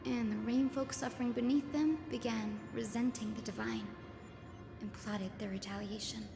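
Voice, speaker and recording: A woman's voice: on the high side, fairly even intonation, normal speed, gravelly. A woman voicing a learned, composed young priestess in a fantasy video game, formal and articulate. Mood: pensive. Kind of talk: scripted video game voice acting. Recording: echoey reverberant hall, music behind.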